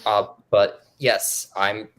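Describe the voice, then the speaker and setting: Low-voiced young man hosting. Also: extremely slow. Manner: relaxed, loose, many fillers. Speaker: interview host. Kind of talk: casual interview chat.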